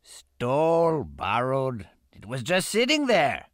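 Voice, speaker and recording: An actor playing a roguish, sly pirate first mate in a video game, talking in a confiding, easygoing way. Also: male voice, medium pitch, wildly swooping pitch, slow pace, dry recording, clean studio sound. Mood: mischievous. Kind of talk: video game cutscene acting.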